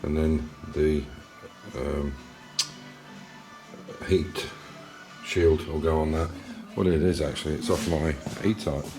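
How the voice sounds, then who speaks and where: A man's voice: rumbling low, steady tone, slow, pauses often, a little mellow, roomy sound, faint music behind, low-quality recording. An English man hosting a casual car restoration vlog, rambling through his workshop with restarts and fillers. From England. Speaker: classic car restorer and channel host. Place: garage workshop.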